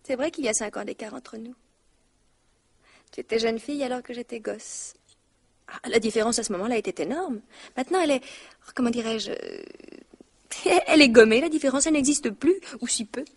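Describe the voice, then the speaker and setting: An actress playing a sharp-tongued young wife in her twenties, in a 1960s radio drama. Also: fairly high pitch, slightly rough. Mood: cheerful. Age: 20s. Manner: teasing, quick and mocking.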